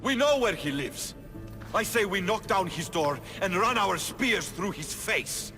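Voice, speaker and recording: An actor playing a brash, hot-blooded Spartan general in a video game, speaking in a blunt, martial way. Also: male voice, sits high, expressive, a little slow, over music. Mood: impatient, defiant. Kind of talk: dramatic video game cutscene acting.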